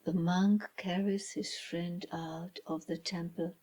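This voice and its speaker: Woman, children's storybook narration, lower-pitched, very slow, dramatic, hushed, deliberate pauses. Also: fairly even intonation. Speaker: author reading own children's story.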